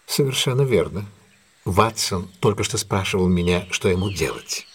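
Casting: imperious, shrewd detective for audio drama; lowish husky voice, very animated, theatrical. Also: male voice, normal speed, slight background noise. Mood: assured, courteous. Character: commanding, shrewd, self-assured male detective plotting a trap.

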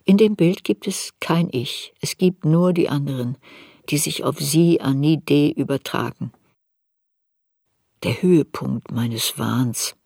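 Older writer recalling her youth, lowish husky voice, reflective, deliberate radio drama narration. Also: dark tone, no echo, studio quality, exaggerated voice. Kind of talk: literary radio drama narration, first person.

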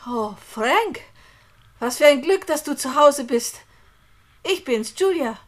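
High-pitched anxious woman. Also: animated, slow. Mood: distressed. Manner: dramatic, urgent, imploring. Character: anxious young woman, pleading and insistent. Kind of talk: German radio play acting.

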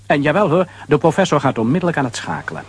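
A man's voice: on the high side, sing-song, fast, background noise. A man narrating a children's audio play as a conversational, dramatic storyteller.

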